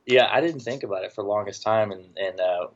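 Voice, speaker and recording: A man's voice: lowish voice, fast talker, highs cut off. A middle-aged man from the Southern United States chatting casually as an interview guest, with a dry, joking manner. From Southern United States, born in Tennessee. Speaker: podcast guest, barbecue business owner.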